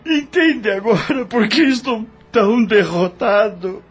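An actor with a Brazilian accent playing a dejected, lovelorn widowed brother in an emotional radio soap opera. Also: male voice, high voice, a little slow. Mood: sobbing grief. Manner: emotional, theatrical delivery. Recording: music under the voice.

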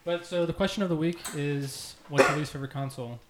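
A man's voice: fairly high pitch, quick pace, a crowd in the background. A man hosting a casual group podcast with playful, mock-grandiose banter. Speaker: podcast host. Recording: echoey room, faint noise.

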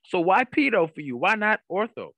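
A man's voice: high voice, sing-song, normal speed, fairly bright tone, no echo. A man hosting a career coaching podcast in an upbeat interviewing style, emphatic and given to repeating phrases.

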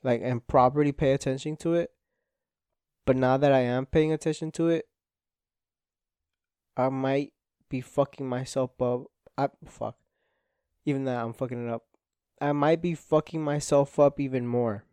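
A man's voice: medium pitch, fairly even intonation, moderate pace, pauses often, warm tone, smooth, no echo, studio quality. A young man, a Spanish speaker, hosting a casual solo podcast, rambling and self-deprecating with lots of swearing and "like" fillers. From Spanish-speaking home. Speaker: podcast host.

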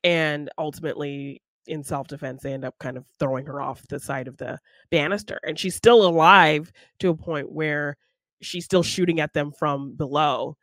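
A woman's voice: sits low, normal speed, fairly bright tone, dry recording. A woman co-hosting a podcast, casually retelling a film in an informal, conversational way. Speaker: podcast co-host.

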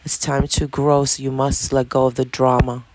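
A woman's voice: extremely low pitch, flat delivery, moderate pace, darkish tone, slight background noise. A woman in her late fifties with a New York accent, giving an informal motivational talk in emphatic, repeated short phrases. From Dominican Republic, raised in Washington Heights, New York City. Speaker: spiritual self-help vlogger.

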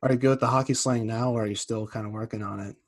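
A man's voice: lowish voice, steady tone, racing. A man interviewing a hockey player, asking loose, rambling questions. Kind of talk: casual interview.

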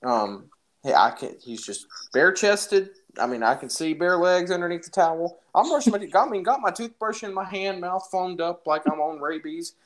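A man's voice: high-pitched, lively intonation, normal speed. A young man casually telling an anecdote in an informal, jokey, exaggerating way. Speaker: storyteller in a podcast-style phone chat.

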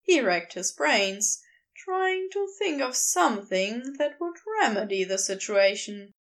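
A woman reading a story aloud as a narrator, in expressive scripted narration. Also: fairly high pitch, a little slow. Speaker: podfic narrator.

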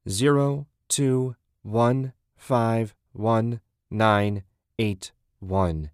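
A man voicing a shaken, nervous man in a horror audiobook, speaking haltingly and uneasily. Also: on the low side, no room sound, studio quality, lightly acted. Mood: stunned. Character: shaken, nervous man puzzling over eerie numbers.

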